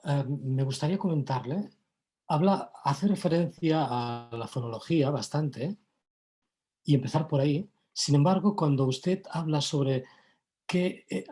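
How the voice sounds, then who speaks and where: A woman's voice: sits low, warm tone. A woman, politely and formally putting questions as a moderator. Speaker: moderator relaying audience questions.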